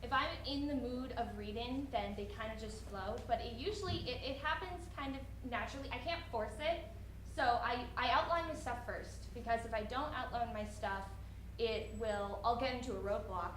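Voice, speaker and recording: A young woman writer answering audience questions off the cuff, often halting and restarting her thoughts. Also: a little high, a bit bright. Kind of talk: unscripted question-and-answer talk after a reading. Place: indoor room at a college event. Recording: roomy echo, faint background noise.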